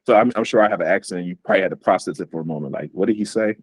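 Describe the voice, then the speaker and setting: A man's voice: low-pitched, racing, slightly rough, dry recording. A man hosting an interview over a video call, speaking casually with many fillers and repeated words. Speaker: host.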